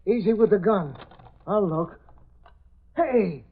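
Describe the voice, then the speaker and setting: An actor in an old radio drama playing a gullible, slangy small-time crook who scares easily, on a tinny old recording. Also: male voice, high-pitched, lively intonation, slow pace, vocal fry, husky, full character voice. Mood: wary, stubborn. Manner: slangy, streetwise, ungrammatical. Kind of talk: 1930s-40s radio drama acting.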